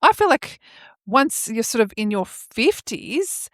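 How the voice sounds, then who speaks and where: An Australian woman in her forties co-hosts a casual podcast. Her voice sits a little high and is recorded dry and close, with very little room sound. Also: very animated, slow, no room sound. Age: 40s. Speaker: podcast co-host.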